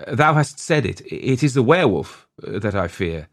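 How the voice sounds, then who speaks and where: A man's voice: medium pitch, very animated, moderate pace, voice pushed up. A man voicing an anguished young huntsman in love, pleading and trembling in archaic storybook speech. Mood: fearful, confiding. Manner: trembling, imploring, archaic diction.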